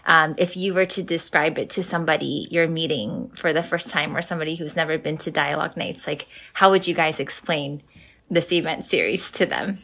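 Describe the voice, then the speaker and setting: A woman hosts a podcast interview in a lowish voice. She talks casually and loosely, with plenty of ums and likes. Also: fairly even intonation, fairly quick, gravelly. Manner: warm scripted intro, then chatty with fillers. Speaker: podcast host.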